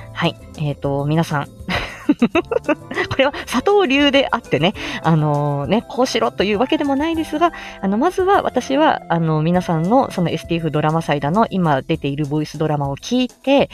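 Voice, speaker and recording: A woman's voice: sits low, sing-song, a little fast, soft background music. A woman hosting a casual livestream, chatting and giving encouraging tips in the manner of an amateur voice actor. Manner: chatty, encouraging, often chuckling.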